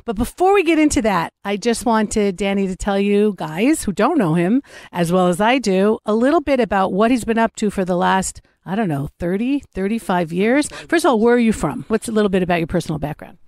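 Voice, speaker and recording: A woman hosting an interview show, chatty and animated, joking with her guest while sitting outdoors. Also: medium pitch, fairly quick, no echo. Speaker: talk show host. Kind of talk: casual interview. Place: outdoors on a platform.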